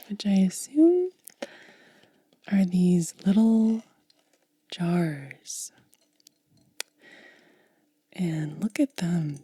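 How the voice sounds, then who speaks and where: A woman whispers very softly and breathily, right up close in a dry recording. She takes her time with an ASMR unboxing, stretching words out at an extremely slow pace. Her tone is very mellow and relaxed, with a gentle manner throughout. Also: fairly low pitch, husky, dry recording. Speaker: ASMR host. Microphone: close microphone.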